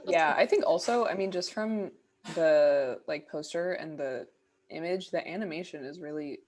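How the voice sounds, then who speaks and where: A woman's voice: low voice, normal speed, a little mellow. A young woman co-hosting a podcast in casual chat, playfully guessing aloud and giggling. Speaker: podcast co-host.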